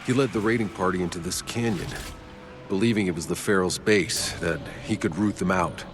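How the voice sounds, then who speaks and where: An actor playing a gruff soldier exploring an alien world in a video game cutscene. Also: male voice, deep voice, a little fast, lightly acted. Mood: grave, intent. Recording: dramatic music behind the voice.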